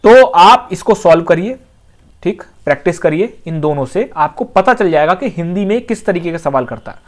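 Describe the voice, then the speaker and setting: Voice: medium pitch, animated, normal speed. An exam coaching teacher, explaining things informally and conversationally, repeating points and often checking that students follow. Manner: conversational, with frequent check-in phrases and repetition.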